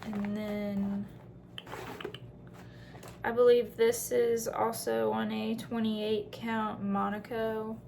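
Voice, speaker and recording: A woman's voice: on the high side, level tone, takes it slowly, creaky, pauses often, darkish tone, slight background noise. A woman chatting casually through a craft show-and-tell as host, in a rambling way with self-deprecating asides.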